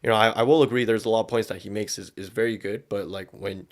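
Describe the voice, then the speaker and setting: A man's voice: on the low side, fairly even intonation, fast talker. A man hosting a podcast, giving casual, opinionated commentary in slangy language full of profanity. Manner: slangy, profanity-laden speech. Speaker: podcast host.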